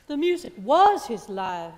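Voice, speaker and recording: A woman acting a sharp-eyed, knowing jazz club woman in a stage drama. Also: fairly high pitch, big pitch swings, a little slow. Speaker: actor in staged reading. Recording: echoey theater hall.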